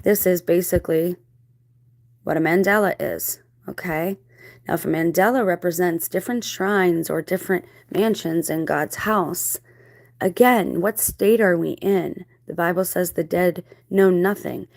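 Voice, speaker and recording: Low-voiced woman explaining in a dry room. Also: unhurried, vocal fry, a little mellow, dry recording. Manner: rhetorical questions, checking in with listener. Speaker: video host. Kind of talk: explanatory monologue on spiritual theories.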